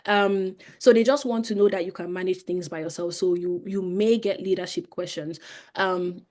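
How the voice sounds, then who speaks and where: A woman's voice: fairly low pitch, fairly even intonation, normal speed, a little mellow. A young woman from Nigeria with a Nigerian accent, presenting a live webinar in a conversational style with frequent fillers. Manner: conversational, explains with many fillers.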